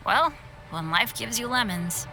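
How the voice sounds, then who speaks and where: A woman's voice: fairly high pitch, lively intonation, unhurried, creaky, music in the background, poor audio. A woman voicing a good-natured, hesitant teenage boy adventurer in a game, earnest in manner. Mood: helpful, modest. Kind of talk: scripted game voice acting.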